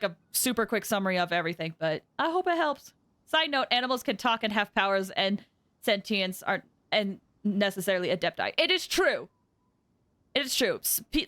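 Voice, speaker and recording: A woman casually commentating on a live game stream, chatty and excitable, close on the microphone. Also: medium pitch, no echo. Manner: chatty, excitable, swoops into squeals.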